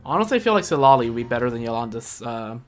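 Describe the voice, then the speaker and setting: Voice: mid-range voice, sing-song, rapid-fire. A livestreamer giving casual, slangy gameplay commentary in a rambling, conversational way. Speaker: livestreamer playing a video game. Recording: game music behind the voice. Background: video game music.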